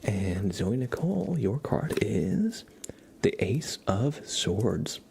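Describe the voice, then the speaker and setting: Casting: male livestream ASMR host, lowish creaky voice, chatty and gentle in volume, sometimes whispered, slightly noisy recording. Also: very animated, slow pace. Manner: chatty, rambling, soft-spoken with whispered stretches. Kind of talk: casual live ASMR chat with tarot card readings. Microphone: headset. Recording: clear background noise.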